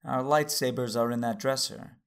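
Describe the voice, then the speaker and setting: An actor playing a calm, tender Jedi master, narrating in first person with a measured, formal manner and a gravelly, husky voice. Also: male voice, medium pitch, natural voice. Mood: composed.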